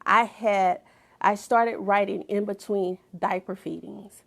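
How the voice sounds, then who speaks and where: A woman's voice: mid-range voice, steady tone, takes it slowly, vocal fry, a bit bright. A middle-aged woman giving an emphatic inspirational talk, repeating phrases for effect and sometimes dropping to a whisper. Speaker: motivational speaker and author.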